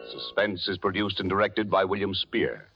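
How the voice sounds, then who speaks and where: Deep-voiced 1940s radio announcer. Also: male voice, flat delivery. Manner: slow, formal delivery. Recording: dull old radio broadcast with loud music.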